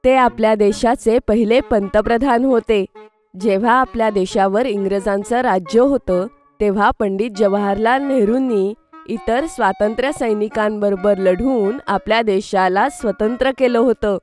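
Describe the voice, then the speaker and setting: A woman voicing a gentle, encouraging mother in a children's cartoon story, soothing and explanatory. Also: medium pitch, moderate pace, faint music behind, close to an everyday voice. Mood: gently explaining.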